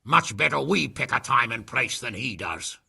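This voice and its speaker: Video game lord: rough, forceful male, heavy rasp, brash and combative. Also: medium pitch, animated, normal speed, full character voice. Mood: eager, combative. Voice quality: gravelly, rough. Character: gruff, brash nobleman spoiling for a fight.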